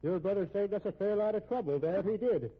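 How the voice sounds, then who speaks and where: An actor playing a chatty, assured police officer in a 1950s radio drama. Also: male voice, sits high, a little fast, slightly rough. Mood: matter-of-fact recounting. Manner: folksy, talkative, assured. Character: chatty, unworried Irish-American male police officer. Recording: muffled, tinny old radio recording.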